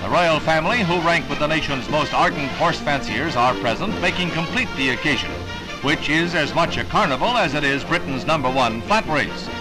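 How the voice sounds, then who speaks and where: A man's voice: fairly high pitch, animated, normal speed, low-quality recording. An American man narrating a 1960s newsreel in a formal, dramatic announcer delivery. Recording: vintage newsreel soundtrack, loud music behind.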